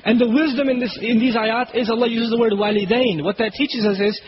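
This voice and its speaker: A man whose first language is Urdu, giving an Islamic lecture. He explains Arabic words slowly and recites Quranic verses quickly. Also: steady tone. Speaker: Islamic preacher. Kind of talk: religious lecture. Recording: dull low-fidelity recording with faint hiss.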